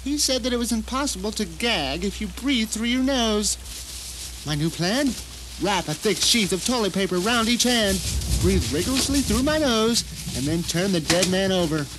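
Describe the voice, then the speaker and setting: A man's voice: high voice, animated, moderate pace, background noise. An actor playing a bitter, deluded blind man who tells his story in a theatrical, self-righteous audio drama monologue. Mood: frantic, horrified.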